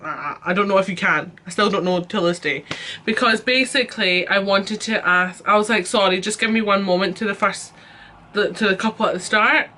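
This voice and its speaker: Young Scottish woman vlogger, exasperated storytime rant, bright forceful tone. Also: medium pitch, fairly quick, a little hiss or hum. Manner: chatty, exasperated storytelling with many fillers.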